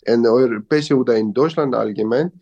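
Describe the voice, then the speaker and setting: Mann mit syrisch-arabischem Akzent. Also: male voice, medium pitch, a little fast, mellow, lo-fi sound. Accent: Syrian Arabic. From Syria. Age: young adult. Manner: non-native German with grammar slips and hesitations. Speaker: interviewee. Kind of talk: casual interview.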